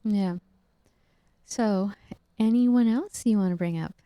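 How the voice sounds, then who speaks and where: A woman from the United States chatting casually as a podcast co-host, relaxed, with lots of fillers. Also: medium pitch, slow pace, dry recording.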